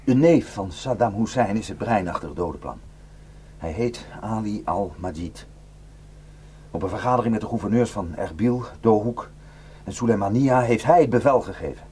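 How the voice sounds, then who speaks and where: Actor playing a haunted, low-voiced male defector, noisy recording. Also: lively intonation, warm tone, lightly acted. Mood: grave, intense. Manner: halting, intense, bitter. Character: haunted, guilt-ridden Kurdish male defector, desperate to warn villagers. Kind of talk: Dutch radio drama acting. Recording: noisy radio play recording with hum.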